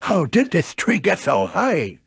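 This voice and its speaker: Woman doing a very husky, bouncy storybook tiger. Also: on the low side, huge pitch swings, unhurried, dry recording, clean studio sound, pitched above the performer's own voice, exaggerated voice. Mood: scared, sheepish. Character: cocky, bouncy male storybook tiger, boastful. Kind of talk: children's storybook character voice.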